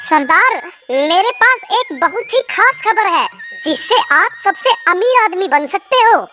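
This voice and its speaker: A cartoon voice actor playing a sly, fawning village swindler who schemes and flatters theatrically, with children's music behind. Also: very high-pitched, a little slow, exaggerated voice. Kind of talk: animated moral story character dialogue.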